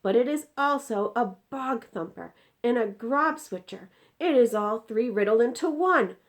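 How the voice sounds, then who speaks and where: A woman's voice: fairly high pitch, expressive, unhurried, pitched above the performer's own voice. A woman voicing an indignant, distressed giant from a storybook, exclaiming in mangled grammar and drawing out words emphatically. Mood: exasperated, dramatic.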